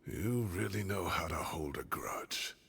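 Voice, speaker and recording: Casting: elderly guarded professor in a video game. Lowish register, weary and grave, hushed endings. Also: male voice, lively intonation, measured pace, vocal fry, heavily acted. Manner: grave, sighing, hushed at phrase ends. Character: weary, guarded old male scholar haunted by his past.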